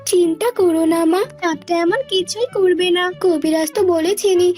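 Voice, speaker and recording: A gentle young cartoon princess played by a woman. The voice is very high, caring and resolute, set over faint music. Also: steady tone, moderate pace. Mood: reassuring, resolute.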